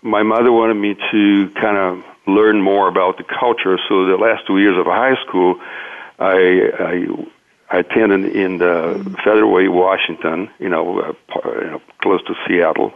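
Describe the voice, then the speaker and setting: A man from Brazil, a native Portuguese speaker with a Brazilian accent, giving an interview as a businessman in a halting, hesitant way. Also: sits low, fairly even intonation, creaky. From Minas Gerais, Brazil. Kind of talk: interview conversation, recounting own career. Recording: tinny phone-like line.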